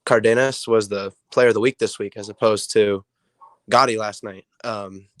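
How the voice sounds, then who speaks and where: A hoarse young man with a raspy voice, a player answering interview questions in a rambling way with many ums. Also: fairly low pitch, steady tone, moderate pace, fairly bright tone, no room sound. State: hoarse from illness. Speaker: player guest.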